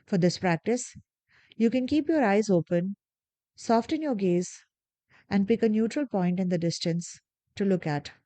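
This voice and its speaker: Woman, meditation guide, mellow warm tone, dry close sound, slow gentle pacing, calm. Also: mid-range voice, no echo. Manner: slow, soft, calm instructions with long pauses.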